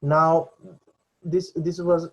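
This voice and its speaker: A young man giving an unscripted voice-over for a software demonstration, with hesitant, halting delivery. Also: on the high side, flat delivery. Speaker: presenter demonstrating a VR training simulation. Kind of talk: unscripted screen demo voice-over. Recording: dull lo-fi computer mic recording.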